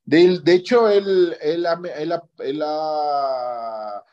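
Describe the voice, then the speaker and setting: A man's voice: a little high, drawn-out words. A man with a Mexican Spanish accent tells a personal story conversationally as an interview guest, often checking in with questioning tags at the ends of phrases. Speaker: interview guest, orthopedic surgeon. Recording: dull lo-fi audio.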